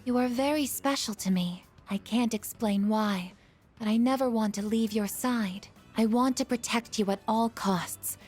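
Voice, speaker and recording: Formal, self-doubting game android girl; slightly high, husky voice over music. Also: darkish tone. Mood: tender, earnest. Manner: measured, formal phrasing. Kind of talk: video game voice acting.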